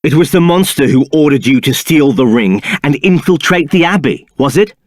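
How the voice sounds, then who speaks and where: A domineering templar captain, video game, haughty clipped sneer, animated pitch, dry close sound. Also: male voice, normal speed, no room sound. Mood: interrogating, menacing. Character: arrogant, contemptuous male templar captain, cold and domineering. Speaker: game character voice.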